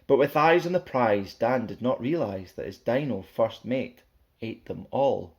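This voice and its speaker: A man's voice: medium pitch, measured pace, a little mellow, dull audio. Man reading a playful rhyming children's story. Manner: playful, theatrical, sing-song rhythm. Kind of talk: animated read-aloud of a rhyming children's story.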